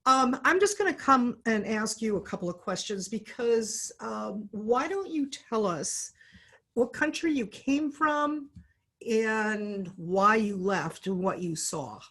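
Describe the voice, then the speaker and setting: A woman's voice: fairly high pitch, animated, takes it slowly, fairly bright tone, slightly rough. Supportive woman hosting an interview. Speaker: interviewer and host. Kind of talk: informal political interview.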